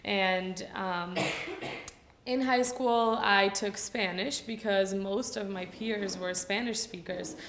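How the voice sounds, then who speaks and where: A woman's voice: mid-range voice, takes it slowly, a bit bright, slight background noise. A Brazilian woman in her twenties, a native Portuguese speaker, giving a casual symposium talk at a lectern microphone with lots of fillers. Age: 20s. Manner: casual, chatty, frequent fillers. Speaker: student speaker. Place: lecture hall at a lectern. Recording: echoey room.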